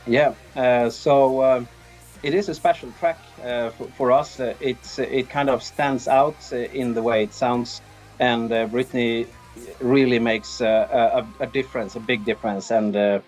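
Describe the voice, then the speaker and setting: A man from Sweden giving casual, halting interview answers as a band's drummer. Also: medium pitch, fairly even intonation, a little mellow, faint music behind. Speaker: band drummer being interviewed.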